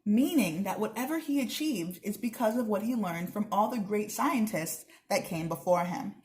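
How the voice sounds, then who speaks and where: A woman's voice: medium pitch, lively intonation, normal speed, a little mellow, slight background noise. A young woman reading a children's book aloud, expressively, with chatty asides to her listeners. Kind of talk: read-aloud narration with casual asides.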